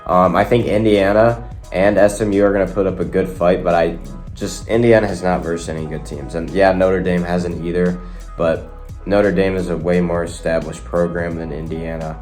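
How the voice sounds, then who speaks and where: A man's voice: low voice, fairly even intonation, moderate pace, a little mellow. A young man with a cold, sounding nasal, casually chatting through sports predictions and rambling to his viewers. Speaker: sports video host. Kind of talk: casual predictions talk to camera. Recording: faint guitar music behind.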